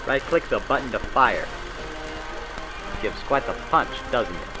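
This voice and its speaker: A man reading scripted narration in the style of a 1940s military training film, brisk and instructive with folksy old-time phrasing. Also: mid-range voice, animated, music in the background, rough recording.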